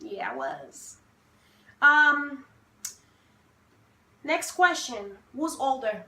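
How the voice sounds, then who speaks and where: A young woman from Haiti with a high, bright voice chats at an easy, unhurried pace. She is playful and self-assured and laughs often. The room adds a noticeable echo. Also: big pitch swings. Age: 20s. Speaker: vlogger host. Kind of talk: casual sibling Q&A chat.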